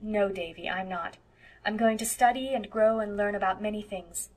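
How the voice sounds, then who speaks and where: A woman voicing a gentle, earnest young woman with a proper, soft-spoken manner in an audiobook. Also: mid-range voice, normal speed, lightly acted, poor audio. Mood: gently firm. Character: gentle, earnest, romantic young woman, fond elder guardian. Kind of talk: audiobook character voice.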